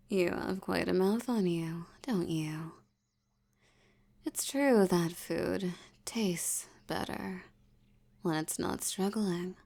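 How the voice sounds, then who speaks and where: Female vampire elder, acted by a woman, audio roleplay: seductive, menacing, languid delivery, creaky mid-pitched voice sinking into breathiness. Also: darkish tone, heavily acted. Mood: seductive, threatening. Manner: slow, teasing, menacing, with lingering pauses. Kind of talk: intimate scripted audio roleplay.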